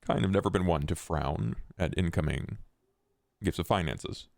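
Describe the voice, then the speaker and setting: A man playing a stoic, cold male vampire accountant in a tabletop roleplay, with formal, terse, deadpan delivery. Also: low voice, moderate pace, creaky, dry recording, studio quality. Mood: measured, pragmatic. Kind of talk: in-character tabletop roleplay acting.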